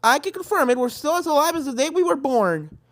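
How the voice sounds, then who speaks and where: A storybook reader voicing young cartoon pony friends, high-pitched, gently scolding and reassuring. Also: expressive, fairly quick. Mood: cheerful, reassuring.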